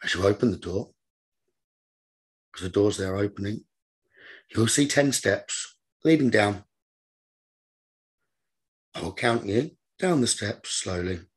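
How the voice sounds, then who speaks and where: A man leading a guided meditation as a teacher, giving gentle, repetitive instructions in a slow manner. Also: on the low side, animated, breathy edge.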